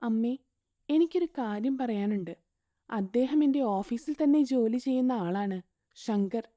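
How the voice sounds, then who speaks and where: A woman voicing an earnest young widowed mother in a storybook tale, speaking softly. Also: on the high side, a little slow, no room sound, clean studio sound, close to an everyday voice. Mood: shy.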